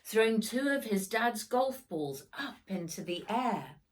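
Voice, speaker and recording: Woman reading a storybook, slow and animated. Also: on the high side. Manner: dramatic, with sound words acted out. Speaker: author reading own book. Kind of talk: expressive children's storybook narration.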